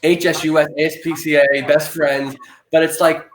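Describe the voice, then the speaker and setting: A man hosting an animal rescue live stream, talking loudly in a rambling way full of restarts and fillers. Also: fairly high pitch, flat delivery, normal speed, reverberant, slight background noise, low-quality recording. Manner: loud, self-described loud person. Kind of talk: casual live-stream interview.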